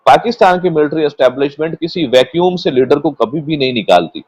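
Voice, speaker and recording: A man with a Pakistani accent speaking as a guest commentator, explaining politics in a measured, unscripted way and sometimes repeating words. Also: a little high, lively intonation, slightly rough, no echo, muffled recording. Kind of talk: unscripted political analysis in a panel discussion.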